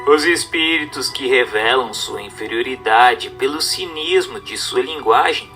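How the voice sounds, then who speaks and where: A man's voice: medium pitch, measured pace. A man gives a formal scripted reading of a religious text in a solemn, didactic manner. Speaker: narrator. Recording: narration with background music.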